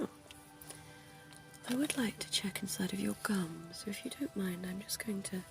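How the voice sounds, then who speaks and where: A woman voicing a centuries-old, genteel female vampire dentist in an ASMR roleplay, speaking in a soft, posh, coaxing whisper. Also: medium pitch, creaky.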